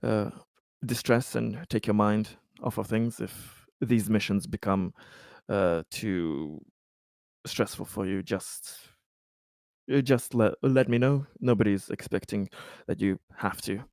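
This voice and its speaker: A man playing a weary, haunted colony officer in a tabletop roleplay, speaking haltingly and earnestly as he consoles a colleague. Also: fairly low pitch, vocal fry, darkish tone, dry recording, studio quality. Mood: concerned, gentle. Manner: halting, grave, earnest, sighing. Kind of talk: improvised tabletop roleplay dialogue.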